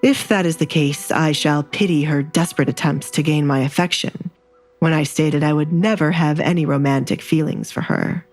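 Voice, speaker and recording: A woman's voice: deep voice, steady tone, faint music behind. Woman voicing a coolly menacing young duke. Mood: cool, mocking. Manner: formal, measured, veiled threats.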